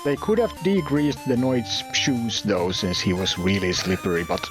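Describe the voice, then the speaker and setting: A man's voice: mid-range voice, sing-song, normal speed, over music. A Finnish man in his forties, a tournament player speaking English modestly and carefully in a casual chat. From Finland. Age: 40s.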